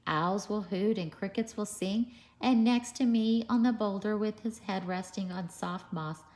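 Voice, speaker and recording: A woman's voice: mid-range voice, unhurried, darkish tone, pitched above the performer's own voice, close to an everyday voice. A woman voicing an eager, imaginative, earnest little boy in a storybook reading. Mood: eager, dreamy. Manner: earnest, enthusiastic.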